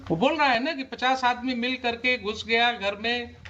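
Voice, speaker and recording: Stern, high-pitched Indian man, a judge. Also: moderate pace, sharp tone. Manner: stern, admonishing, rhetorical questions; switches between Hindi and English. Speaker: judge presiding over a court hearing. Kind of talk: courtroom questioning and oral directions. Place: courtroom. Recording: muffled courtroom audio, faint noise, clicks.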